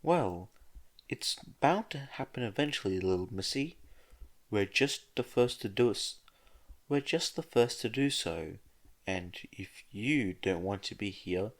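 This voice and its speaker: Showy male scientist played by a man narrator: eccentric, blunt, dryly teasing, theatrical, unhurried. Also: fairly low pitch, expressive. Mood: breezy. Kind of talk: amateur fan-fiction audio reading.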